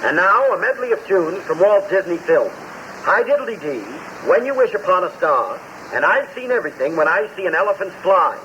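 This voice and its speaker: A man's voice: high voice, big pitch swings, moderate pace, muffled recording. A man doing scripted broadcast announcing. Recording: faintly noisy old radio recording.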